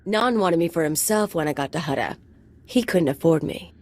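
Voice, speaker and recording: Brash young female mercenary, lowish voice, sardonic drawl, cocky, sly, video game dialogue. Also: lively intonation, moderate pace. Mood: wry, amused.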